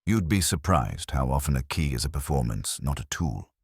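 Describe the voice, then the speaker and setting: Smug male schemer for a mystery audiobook, read by a male narrator: pompous, precise, very deep, animated. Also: normal speed, slightly rough, dry recording, studio quality, heavily acted. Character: smug, theatrical male villain, pleasantly menacing schemer.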